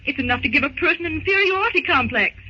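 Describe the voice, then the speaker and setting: A woman's voice: high voice, very fast. An actress playing a playful, bantering young woman in a 1930s radio drama. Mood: playful, teasing. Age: young adult. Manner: lively, bantering. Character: playful, teasing young woman, professor's daughter. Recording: muffled, noisy old 1930s radio recording.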